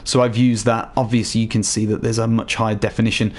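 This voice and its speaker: A man with a slightly hoarse voice chatting casually to viewers while walking them through a game showcase. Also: on the low side, flat delivery, fast. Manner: chatty, addresses viewers directly. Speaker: gaming YouTuber. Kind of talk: casual game tutorial voice-over.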